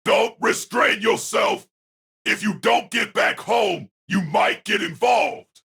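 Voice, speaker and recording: Actor as raspy, shouting young male fighter. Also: high voice, slow, heavily acted. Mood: urgent. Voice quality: raspy, gravelly. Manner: forceful, urgent, barking. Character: brusque, commanding young male fighter, wounded but composed. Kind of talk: audio drama acting.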